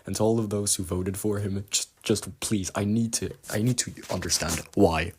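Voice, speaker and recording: A man leaving a casual, profane voice message, mock-outraged and emphatic, with a husky voice. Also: lowish voice, moderate pace, darkish tone, a little hiss or hum. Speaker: listener sending a voice message.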